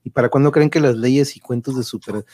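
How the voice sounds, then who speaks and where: A man's voice: medium pitch, steady tone, fast, warm tone, no echo. Casual male live-show host: playful, talkative, mid-range voice, very little room sound. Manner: playful, chatty, joking. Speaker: host of a live online radio-play show.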